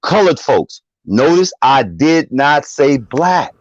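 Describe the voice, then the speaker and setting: A man's voice: a little high, very animated, takes it slowly, no room sound, dull audio. A man calling in to a discussion, defiant and profane, repeating himself for emphasis. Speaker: caller joining the discussion. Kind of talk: opinionated call-in commentary.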